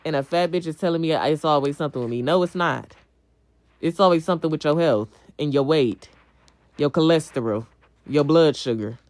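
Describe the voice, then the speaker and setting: A woman's voice: deep voice, fairly quick, darkish tone, dry recording. Young woman livestreamer, blunt, close dry sound. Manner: blunt, profane, slangy; repeats phrases for emphasis. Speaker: livestream host. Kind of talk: casual livestream chat, answering viewers' comments.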